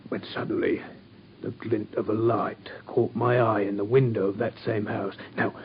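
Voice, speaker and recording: A man playing a gruff constable. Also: fairly low pitch, little pitch movement, moderate pace, natural voice. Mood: rambling. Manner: hesitant, rambling, full of uhs. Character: gruff working-class London police constable, middle-aged. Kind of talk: radio drama. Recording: dull lo-fi sound with faint hiss.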